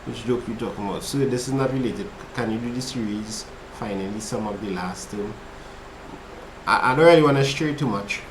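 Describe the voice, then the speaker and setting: Man, Trinidadian accent, relaxed chatty maths tutor, roomy echo, faint background hum. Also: fairly low pitch, a little fast. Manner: relaxed, chatty, reads chat aloud while working problems. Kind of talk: casual livestream study session.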